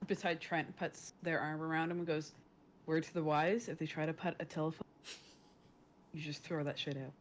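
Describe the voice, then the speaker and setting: Female tabletop player, slightly low voice, casual improvised chat, some background noise. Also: flat delivery, normal speed.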